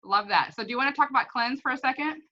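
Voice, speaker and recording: A woman's voice: sits high, fast, muffled recording, noisy background. A woman hosting an informal chat, talking enthusiastically and personally about products she uses. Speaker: host. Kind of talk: casual chat, product testimonial.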